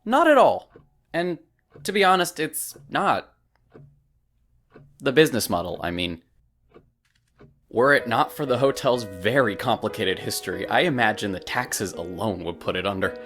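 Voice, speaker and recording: A man's voice: on the low side, sing-song, moderate pace, long pauses, a bit bright, faint music behind. A man playing an affable, wordy hotel clerk in a visual novel, with a dramatic, chatty manner. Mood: theatrical.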